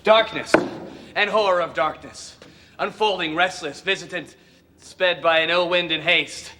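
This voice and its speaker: A teenage boy in an amateur tragedy, with a high, very sharp voice. He declaims King Oedipus stiffly and haltingly. The sound rings in a long hall echo, like a TV clip of a school stage. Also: fairly even intonation, slight background noise. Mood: stiffly declaiming. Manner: stilted, halting recitation, losing the lines. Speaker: actor in a TV show clip. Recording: echoing hall, clip from TV show.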